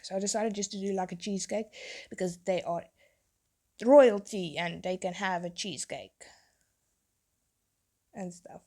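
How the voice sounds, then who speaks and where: A young woman, a tattoo artist, chatting in a rambling way while she draws, her voice hoarse from being sick. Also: mid-range voice, measured pace, pauses often, mellow. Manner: rambling, chatty, self-interrupting. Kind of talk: casual chat while drawing.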